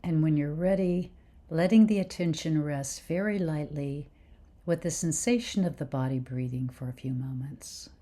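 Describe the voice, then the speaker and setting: Woman, meditation teacher, very low pitch, soft dark tone, soothing, gentle guidance. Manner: soothing, gentle, unhurried phrasing. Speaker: meditation teacher leading a guided practice. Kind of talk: guided meditation instruction.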